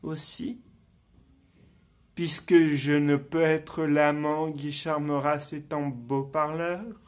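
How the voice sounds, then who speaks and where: An actor playing a bitter, scheming, deformed nobleman, delivering a theatrical Shakespearean monologue in a declamatory, deliberate manner. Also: high voice, fairly bright tone, heavily acted. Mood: quiet, sinister plotting.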